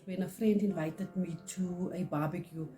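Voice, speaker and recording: A middle-aged woman from South Africa, Afrikaans-speaking, with a South African accent, telling a personal story in earnest, pausing often. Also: on the low side, fairly even intonation, dark tone, soft background music. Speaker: channel host. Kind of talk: personal testimony to camera.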